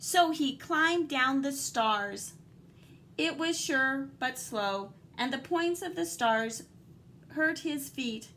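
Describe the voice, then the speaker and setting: A woman reading a picture book aloud like a teacher, animated and dramatic, with guiding asides to young listeners. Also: high-pitched, slow, slight background noise.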